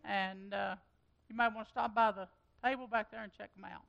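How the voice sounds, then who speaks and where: Folksy woman pastor, bright voice. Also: mid-range voice, fairly even intonation, a little fast, vocal fry, muffled recording. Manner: chatty and folksy, with loose trailing phrases. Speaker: pastor leading the meeting. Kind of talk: casual church announcements.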